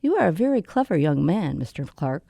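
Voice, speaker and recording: A woman's voice: deep voice, very animated, brisk, vocal fry, warm tone, no echo, heavily acted. A woman playing a gracious, genteel older lady in a radio drama. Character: gracious older lady praising a young man.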